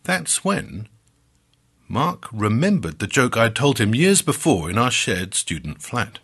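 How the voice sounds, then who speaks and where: A man narrating a scripted story as a podcast storyteller, with dramatic, measured phrasing and drawn-out emphasis. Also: mid-range voice, sing-song, fairly bright tone, breathy edge.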